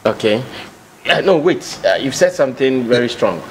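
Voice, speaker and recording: A man, hosting a talk show and interviewing his guest in a teasing, animated way. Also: medium pitch, a little hiss or hum, low-quality recording. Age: 40s. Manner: animated, teasing, quick to react with surprise. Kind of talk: casual interview chat.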